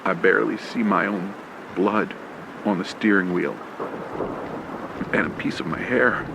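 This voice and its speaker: A man narrates a horror story in the first person, in the manner of a classic radio play. His low voice has a heavy gravelly creak and moves very slowly, with dramatic pauses. Noisy ambient effects sit clearly behind him. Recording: clear background noise with ambience and vehicle sounds. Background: rain, ambience and vehicle sound effects.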